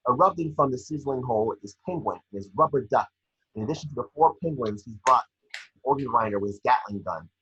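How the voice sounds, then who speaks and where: A man with a rough, husky voice reading scripted scene descriptions at a table read. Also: mid-range voice, expressive, fast, fairly bright tone, dull audio.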